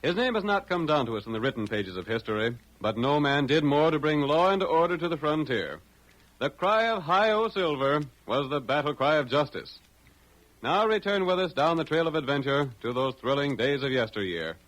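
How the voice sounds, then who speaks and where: High-voiced American old-time radio announcer, man. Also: expressive, normal speed, a bit bright, rough recording. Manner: dramatic, declamatory. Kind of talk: 1930s-40s radio scripted narration.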